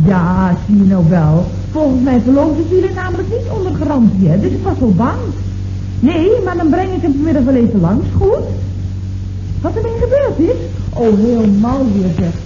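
An actress playing a chatty, scatterbrained young secretary in a breezy, exclamatory 1970s Dutch radio comedy style. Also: female voice, high-pitched, big pitch swings, normal speed, mellow. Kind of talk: Dutch radio comedy acting, circa 1970. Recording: muffled, noisy, echoey old radio recording.

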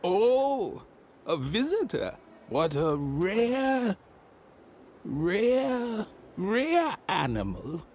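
An impossibly old, befuddled man who drifts from one grand tall tale to the next. His voice leaps up and down in pitch and moves at a slow, dawdling pace. Faint game ambience hums underneath. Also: sing-song, a little mellow, a little hiss or hum, exaggerated voice. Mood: rambling. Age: older. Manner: rambling, muddled, boastful tall tales. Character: ancient rambling old man hanging on a hook.

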